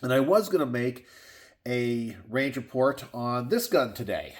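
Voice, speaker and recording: A man's voice: medium pitch, lively intonation, measured pace. A middle-aged man from Texas, hosting his gun channel in a casual solo chat from his garage. He sounds stuffed up and sniffly and rambles, with many fillers and restarts. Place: home garage.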